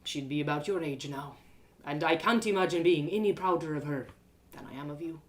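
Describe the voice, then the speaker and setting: Woman voicing a slow, low, fatherly old village man. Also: lightly acted. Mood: tender, wistful. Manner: slow, measured, philosophical.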